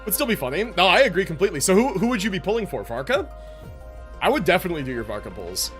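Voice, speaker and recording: Animated, chatty male livestreamer. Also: high-pitched, racing, faint music behind. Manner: chatty, informal, repeats phrases for emphasis. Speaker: live streamer host. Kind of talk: casual livestream chat with viewers.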